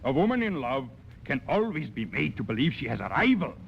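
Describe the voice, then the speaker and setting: An actor playing a self-important, scheming playwright in 1940s radio comedy style, with pompous, declamatory delivery. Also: male voice, mid-range voice, very animated, a little fast, vocal fry. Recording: muffled, faintly noisy 1940s radio recording.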